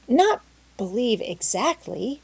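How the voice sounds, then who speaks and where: A woman voicing an excitable, imaginative young girl with a breathless, dramatic, talkative manner in a storybook reading. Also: on the high side, slow pace, creaky, husky, no room sound, voice pushed up, full character voice. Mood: faltering, earnest. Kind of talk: storybook character voice in audiobook.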